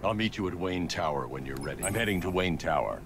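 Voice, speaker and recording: An actor playing a grim, brooding masked vigilante detective in a video game, terse and deliberate as he reasons aloud. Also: low voice, expressive, noisy background. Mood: determined. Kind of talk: dramatic video game acting. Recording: game audio with music behind.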